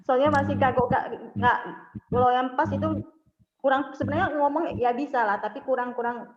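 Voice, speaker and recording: A woman's voice: high-pitched, a little fast, background noise. A woman from the congregation speaking hesitantly and modestly over a video call. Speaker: congregation member. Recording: roomy, dull video call audio.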